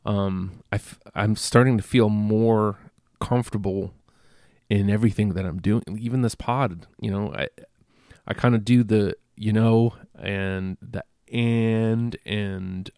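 A stuffy-nosed man talks alone into a close microphone for his podcast, rambling and self-deprecating between fillers and false starts. His voice is low and full of gravelly fry, and the recording is dry. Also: steady tone, slow pace, a little mellow, no room sound. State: congested. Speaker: podcast host. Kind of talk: casual solo podcast monologue.

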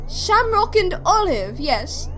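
A woman's voice: very high voice, very animated, takes it slowly, a bit bright, a little hiss or hum, heavily acted. A woman acting in an audio drama as an inquisitive, teasing young companion, with a playful manner. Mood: curious. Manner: playful, questioning, pert.

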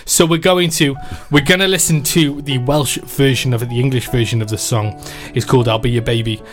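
A man's voice: mid-range voice, steady tone, fairly quick, soft background music. A man presenting a radio show, chatting casually and teasing his guest.

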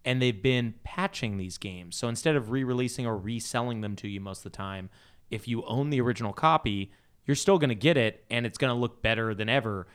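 A man's voice: medium pitch, fairly quick, a bit bright, clean tone. A man hosting a casual panel chat about video games. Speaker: podcast host.

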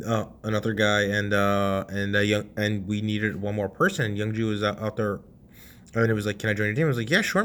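Young male podcast host, casual storyteller, lively delivery with loud and hushed swings, swears freely. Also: lowish voice.

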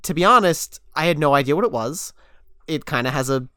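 A man's voice: on the high side, expressive, fairly quick, bright, forceful tone, clean tone, no room sound, studio quality. A young adult man co-hosting a casual podcast, a little sick, talking things through earnestly with frequent restarts. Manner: earnest, thinking aloud with restarts. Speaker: podcast host.

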